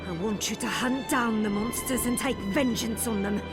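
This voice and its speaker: An actress playing a grief-stricken villager widow in a video game, emotive and pleading, set on vengeance. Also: female voice, on the high side, normal speed, over loud music, close to an everyday voice. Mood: pleading, bitter.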